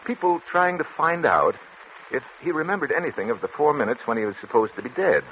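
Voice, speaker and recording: An actor playing a troubled, solemn doctor in a formal, deliberate 1940s radio drama style. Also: male voice, mid-range voice, big pitch swings, lightly acted. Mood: animated, explaining. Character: troubled, solemn male doctor and psychiatrist narrating his confession. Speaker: actor, narrator and lead. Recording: dull, noisy 1940s radio recording.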